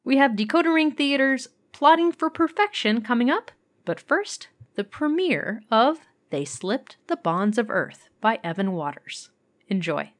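A woman's voice: fairly high pitch, animated, unhurried. A woman hosting a podcast, reading scripted announcements. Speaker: podcast host.

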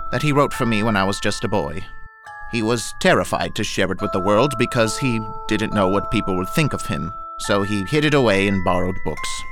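A man's voice: lowish voice, lightly acted. An actor playing a pompous, grandiose male academic who steals books, lecturing and rambling in an audio drama.

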